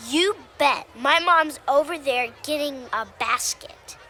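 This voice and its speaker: A woman's voice: high voice, takes it slowly, pushed and bright, a little hiss or hum, full character voice. A woman voicing an eager, innocent, wide-eyed young kid in a children's Christmas puppet show. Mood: eager, curious.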